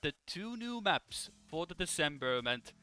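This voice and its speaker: A man hosting an esports broadcast in a casual live style, with hesitant, halting phrasing. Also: fairly high pitch, sing-song, bright, forceful tone, clear, resonant tone. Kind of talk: casual live presenting and commentary. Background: music in the background.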